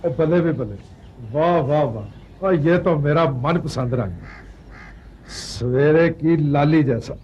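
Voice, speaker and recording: A man's voice: a little high, slow, a little mellow, muffled recording, a little hiss or hum. A man playing a dreamy, exultant horse-cart driver in an Urdu television film, musing aloud in exclamations. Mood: delighted.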